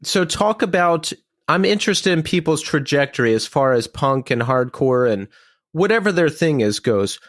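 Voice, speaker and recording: A man's voice: fairly high pitch, lively intonation, moderate pace, no echo, clean studio sound. Chatty upbeat male podcast host. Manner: upbeat, chatty, laughs easily. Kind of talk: podcast interview with ad read.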